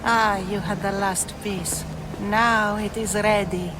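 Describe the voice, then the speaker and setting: A woman's voice: medium pitch, fairly even intonation, slow pace, over music, lightly acted, low-quality recording. A woman voicing a proud, boastful cult zealot in a video game, reverent, imperious and grandiose. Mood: reverent, exultant. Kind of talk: dramatic video game acting.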